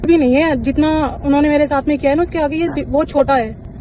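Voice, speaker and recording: A woman's voice: high voice, fairly even intonation, a little fast, crowd noise. A woman speaking insistently and accusingly in a tense recorded phone conversation. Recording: noisy phone call recording with traffic.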